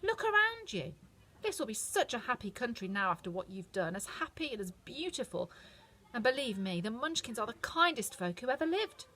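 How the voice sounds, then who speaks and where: A woman voicing a kindly, motherly old good witch who speaks in a gentle, reassuring way. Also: fairly high pitch, very animated, normal speed, pitched above the performer's own voice, full character voice, poor audio. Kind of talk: storybook reading.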